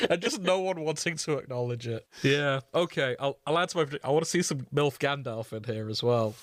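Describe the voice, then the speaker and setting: A man's voice: fairly high pitch, lively intonation, normal speed, a bit bright, no room sound. Joking man in a dry, close recording. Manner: joking, giggly, riffing on absurd ideas. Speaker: podcast co-host. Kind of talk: casual comedic chat.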